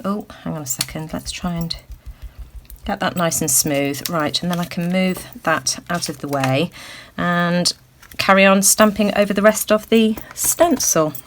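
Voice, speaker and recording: A woman hosting a craft tutorial, thinking aloud with lots of ums and a slightly croaky voice. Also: fairly low pitch, a little slow, a little hiss or hum. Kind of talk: casual crafting demonstration. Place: indoors at a craft table.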